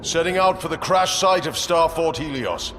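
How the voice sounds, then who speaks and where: An actor playing a resolute, honour-bound space marine commander in a video game, speaking gravely and formally. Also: male voice, a little high, animated, moderate pace, a bit bright, slight background noise. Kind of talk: video game cutscene acting. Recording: echoey, faint music behind.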